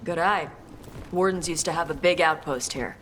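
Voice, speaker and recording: A woman's voice: sits low, moderate pace, fairly bright tone, a little hiss or hum. An actress playing a tough dragon hunter woman in a video game, speaking in a terse, matter-of-fact way. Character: blunt, tough Qunari dragon hunter woman from a seafaring land. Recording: game sound effects over voice.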